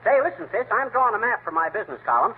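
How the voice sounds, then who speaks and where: A man's voice: high-pitched, very animated, racing. A man voicing a brash, folksy know-it-all husband in an old radio comedy. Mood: blustering. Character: blustering middle-aged husband, know-it-all. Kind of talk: 1930s radio comedy acting. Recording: tinny old radio recording with faint hiss.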